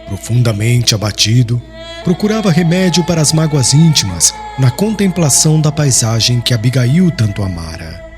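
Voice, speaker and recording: A man with a Brazilian accent reading a dramatic audiobook narration in a solemn, literary way. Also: on the high side. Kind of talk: scripted dramatic storytelling narration.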